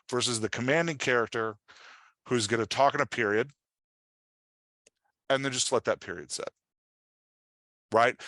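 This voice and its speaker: A man's voice: medium pitch, fairly bright tone, dry recording. A man giving an informal workshop as a writing coach, explaining with examples and often checking in with the listener. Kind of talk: informal instructional presentation.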